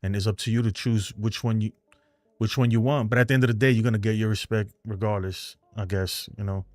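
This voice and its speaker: A man's voice: lowish voice, fairly even intonation, brisk, a little mellow, dry recording. A man with a New York accent hosting a fan live stream, chatting loosely and rambling as he answers viewer comments. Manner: rambling, talkative, loose and frank.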